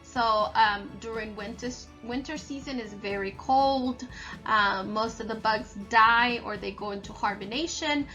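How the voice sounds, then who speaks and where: A woman reads and explains a picture book for children as a teacher would. Her voice is high and bright, and her pace is slow. Soft music sits behind her. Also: low-quality recording. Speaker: read-aloud storyteller and teacher. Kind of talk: children's story read-aloud and explanation.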